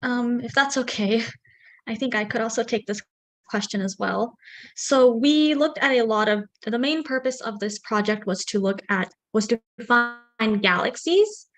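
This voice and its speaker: A woman's voice: a little high, unhurried. Hesitant teen girl answering questions. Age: teens. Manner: hesitant, restarts often. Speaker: student presenter.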